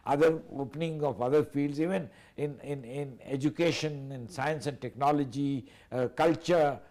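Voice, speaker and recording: A man, speaking as a guest analyst on a TV panel in a measured, hesitant way with many fillers. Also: on the high side, darkish tone. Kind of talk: TV panel discussion.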